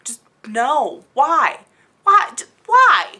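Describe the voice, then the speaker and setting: Bright, high-voiced exasperated woman vlogger. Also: wildly swooping pitch, extremely slow, slight background noise. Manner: exasperated, emphatic, incredulous. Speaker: book review vlogger. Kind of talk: casual rambling video review.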